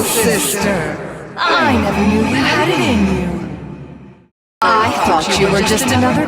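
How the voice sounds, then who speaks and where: A woman voicing an arrogant, sadistic alien warrior craving godhood in a video game, with theatrical, drawn-out taunts and a breathy, husky edge. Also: medium pitch, dry recording, clean studio sound, full character voice. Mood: mocking, gloating. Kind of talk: dramatic video game villain acting.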